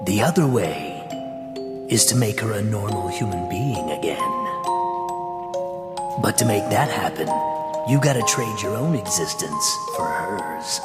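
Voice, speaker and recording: An actor playing a sardonic male demon shade in a video game, mocking and deliberate yet oddly sympathetic, his voice processed to sound otherworldly. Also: on the low side, animated. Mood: taunting. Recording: long echoing reverb, music behind.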